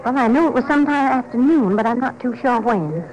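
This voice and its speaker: A woman's voice: fairly high pitch, expressive, fairly quick, darkish tone, lo-fi sound, close to an everyday voice. A woman playing a folksy, hesitant small-town ticket clerk in a 1950s radio western. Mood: obliging. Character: folksy, slow-recalling bus station ticket clerk woman. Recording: old 1950s radio recording.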